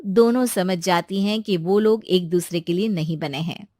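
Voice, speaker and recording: A woman's voice: on the low side, normal speed, dark tone, no echo, clean studio sound. A woman narrating a scripted moral story as a storyteller.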